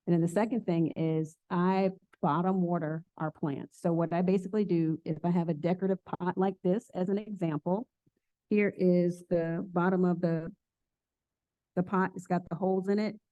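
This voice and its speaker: A woman's voice: lowish voice, fairly even intonation, moderate pace, warm tone, dry recording. A woman who owns a plant shop, talking earnestly and casually to an audience and answering their questions. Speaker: plant shop owner giving a talk. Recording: tinny phone-like sound.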